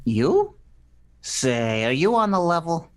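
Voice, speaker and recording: A man's voice: fairly high pitch, sing-song, slow. A man playing a flustered romantic on the run in an old-fashioned radio play, stammering and pleading. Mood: awkward, curious.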